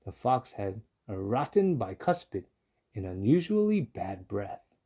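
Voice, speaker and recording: Slow-paced man reading a storybook. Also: fairly low pitch, sing-song, mellow. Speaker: storybook reader. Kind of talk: scripted children's story narration.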